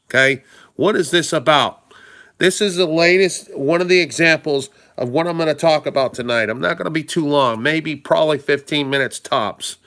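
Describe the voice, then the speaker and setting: A man hosting a live stream, hoarse and stuffed up from a cold, talking casually in a rambling way and greeting viewers by name. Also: on the high side, sharp tone. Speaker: livestream host.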